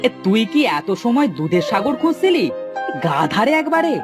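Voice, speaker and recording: Cartoon village youth, foolish and excitable played by a man: high, swooping, exclamatory voice, music behind. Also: normal speed. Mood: puzzled, bickering. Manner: exclamatory, bickering. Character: excitable foolish treasure-obsessed young village brother.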